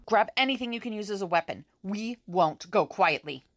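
A woman playing a grave, commanding female seer in an audio drama, speaking in a measured, serious way. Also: medium pitch, lively intonation, natural voice. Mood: urgent, defiant.

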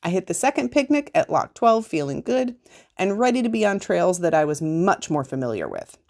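A woman's voice: lowish voice, big pitch swings, normal speed, darkish tone. A woman reading a scripted first-person account aloud as a podcast host.

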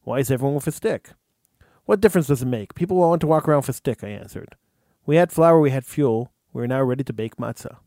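A man, the host, reading a true story aloud in an earnest, measured way, with an occasional stumble over words. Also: mid-range voice, animated, mellow, no room sound, studio quality. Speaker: radio host.

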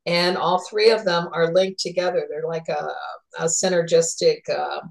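A woman talk show host and therapist who speaks in a chatty, unhurried way, with frequent ums as she plugs sponsors and introduces her guest. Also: lowish voice, little pitch movement, vocal fry, low-quality recording.

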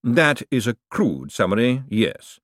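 A man voicing an urbane, calmly menacing ruler who speaks in a measured, formal, drily ironic way in an audiobook. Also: mid-range voice, no room sound, studio quality. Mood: coolly commanding. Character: urbane, calmly menacing ruling lord, dry and precise.

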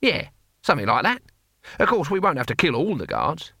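A man's voice: on the high side, wildly swooping pitch, fairly quick, creaky, sharp tone, slightly rough, no echo, studio quality, pitched above the performer's own voice, exaggerated voice. A man voicing an elderly, proud and defiant barbarian hero in an audiobook story, with blunt, boastful, colloquial speech. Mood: matter-of-fact.

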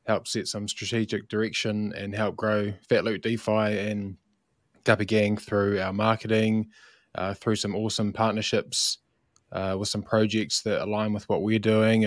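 A man with a New Zealand accent, relaxed and unhurried, introducing himself as marketing lead with lots of ums. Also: on the low side, no room sound, studio quality. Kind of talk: casual self-introduction on a livestream.

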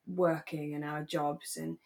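A woman co-hosting a podcast in a relaxed, casual conversational style. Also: deep voice, takes it slowly, vocal fry. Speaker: podcast host; actor. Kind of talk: relaxed casual chat about a radio drama.

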